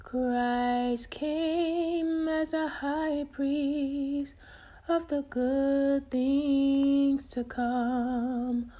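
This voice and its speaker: A woman sings a devotional song in a high, soft-toned voice. The phrases are drawn out very slowly, with light musical accompaniment behind her. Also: little pitch movement, soft background music. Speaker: singer.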